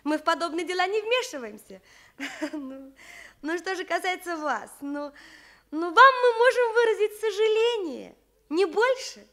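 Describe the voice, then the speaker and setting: An actress playing a polite but dismissive, sceptical newspaper employee with a measured official manner in a radio comedy play. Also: female voice, extremely high pitch, lively intonation, pushed and bright, exaggerated voice. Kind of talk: stage comedy acting for radio.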